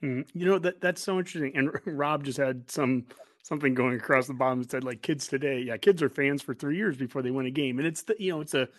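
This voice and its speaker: A man's voice: mid-range voice, fast, dry recording, clean studio sound. An American man in his forties chatting casually as a sports show host, talkative and rambling. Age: 40s. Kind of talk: casual interview chat.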